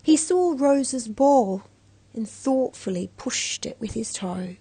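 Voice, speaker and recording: A woman narrates a children's story with great expression. Her voice is fairly high and animated, taken slowly, with a husky grain. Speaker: storybook reader.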